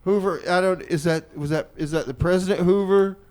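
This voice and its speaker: A man's voice: sits high, fairly even intonation, brisk, a little mellow. A man hosting an informal radio comedy show, speaking in a hesitant, conversational way and often repeating his phrases. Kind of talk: improvised comedic radio chat.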